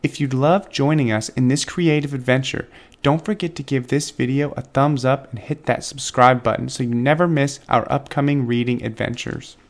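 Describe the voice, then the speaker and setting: A man reading a picture book aloud to children with expressive, dramatic phrasing. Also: medium pitch, moderate pace. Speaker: children's book reader and channel host. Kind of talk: expressive storybook read-aloud.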